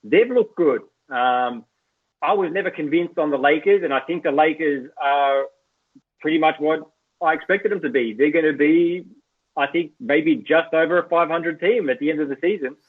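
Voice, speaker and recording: A man's voice: on the high side, normal speed. A man with an Australian accent, a guest chatting casually as a basketball journalist, opinionated and full of "look" and "you know". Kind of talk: casual podcast chat. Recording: tinny phone-like line.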